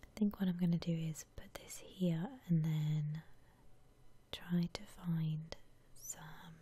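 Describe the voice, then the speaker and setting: A woman whispering softly and breathily in a gentle, musing way as she gives ASMR commentary on a game. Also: deep voice, level tone, breathy edge. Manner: gentle, unhurried, musing aloud. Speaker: ASMR streamer playing a house-decorating game.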